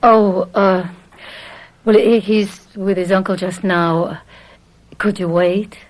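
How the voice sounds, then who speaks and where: An actress playing a hesitant, apologetic, cautious housewife in an old-time radio drama. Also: female voice, medium pitch, fairly even intonation, slow, natural voice, rough recording. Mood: hesitant, polite.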